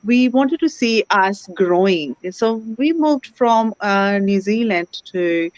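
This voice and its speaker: A woman talks as a guest in an online interview. She speaks earnestly and gratefully, filling pauses with "you know." The call audio is dry and dull, with a lo-fi sound. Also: mid-range voice, expressive, a little mellow, no echo. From India. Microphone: video call.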